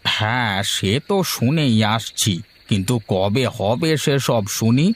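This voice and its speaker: A man voicing a stern, nagging older father in a cartoon, chiding with a drawn-out delivery. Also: medium pitch, expressive, slight background noise. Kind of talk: cartoon dialogue acting.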